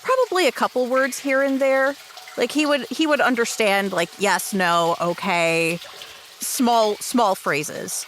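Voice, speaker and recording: A woman's voice: on the high side, a little slow, fairly bright tone, slight background noise. A woman improvising story narration as a player in a tabletop roleplay game.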